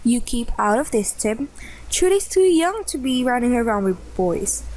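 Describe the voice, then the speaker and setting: A woman's voice: on the high side, big pitch swings, moderate pace, darkish tone, rough recording. A woman playing an earnest, proper, slightly flustered mother in a radio play. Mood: stern.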